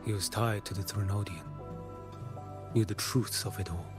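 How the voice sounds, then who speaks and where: Low-voiced, solemn blind envoy played by a man. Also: fairly even intonation, natural voice. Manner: solemn, measured, deliberate delivery. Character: grave blind male envoy tracking the dark tide. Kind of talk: video game voice acting. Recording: game music behind the voice.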